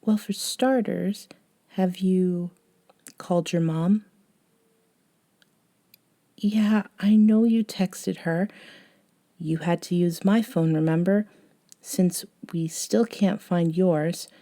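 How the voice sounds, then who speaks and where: A woman playing a gentle, eccentric young woman, a caring friend, in an intimate ASMR audio roleplay, soft-spoken with hesitant pauses. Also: fairly low pitch, steady tone. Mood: gently concerned.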